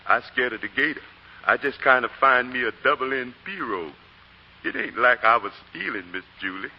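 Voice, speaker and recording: An actor playing a humble, anxious, loyal servant with a folksy Southern accent and deferential manner in a 1940s radio drama. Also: male voice, mid-range voice, animated, moderate pace, husky. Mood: sheepish, anxious. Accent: American Southern. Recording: muffled, reverberant old radio broadcast, light noise.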